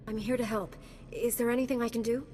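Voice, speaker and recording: An actress playing a calm, helpful female knight hero in a video game, composed and sincere. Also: a little high, quick pace, a little hiss or hum, close to an everyday voice. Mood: friendly, curious. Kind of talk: video game character acting.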